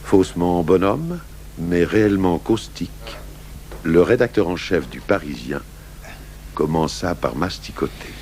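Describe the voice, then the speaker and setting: An actor playing a gruff, weary French police commissioner narrating his own case in a 1980s radio drama. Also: sits low, expressive, measured pace, a little mellow. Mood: measured, wry. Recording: noisy old radio broadcast recording.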